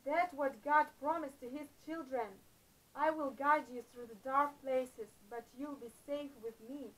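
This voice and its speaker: A middle-aged woman narrating a Bible-themed adventure story to camera in a small room, earnest and a little halting. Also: high voice, fairly even intonation, rough recording. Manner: earnest, slightly halting phrasing with uneven emphasis. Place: small indoor room before a painted backdrop. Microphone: camera close up in front of her.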